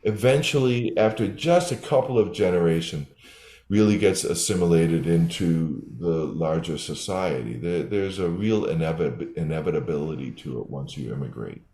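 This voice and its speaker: Animated older man, echoey room. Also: deep voice, moderate pace. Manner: thoughtful, explanatory, with restarts. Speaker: guest, developmental psychologist. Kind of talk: interview answer. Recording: roomy echo.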